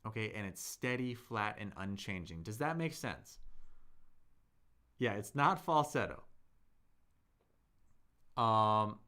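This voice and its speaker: A man's voice: on the low side, lively intonation, takes it slowly, long pauses, pushed and bright. A man teaching a live-streamed Chinese lesson, patiently explaining tones, modelling words aloud and answering viewers' chat.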